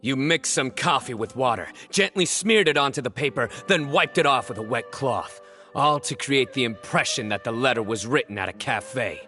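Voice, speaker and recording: An actor voicing a stern young male judge in a game, calm and deductive in a measured way. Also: medium pitch, faint music behind, close to an everyday voice. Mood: accusing.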